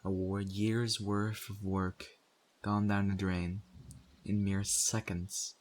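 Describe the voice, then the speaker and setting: A man acts out a weary male survivor who helps run a community after the zombie apocalypse. His voice sits very low and moves little in pitch. He speaks conversationally and directly to the listener, as in an audio roleplay, with a serious, put-upon manner. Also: flat delivery, slow pace. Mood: weary, uneasy. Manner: conversational, urging, mildly exasperated.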